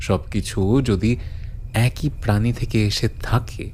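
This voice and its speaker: A man narrating a horror audio story as a brooding storyteller, deliberate and suspenseful with dramatic pauses. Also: on the low side, fairly even intonation, a little mellow, slight background noise, close to an everyday voice. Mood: grave, eerie. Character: brooding male storyteller recounting eerie legends to his friend.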